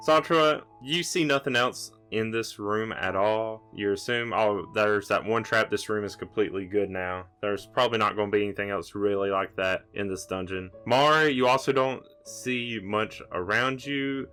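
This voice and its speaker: A man's voice: fairly low pitch, normal speed, hardly any pauses, pushed and bright, soft background music. A man narrating a tabletop roleplaying game as game master, explaining things in an improvised way.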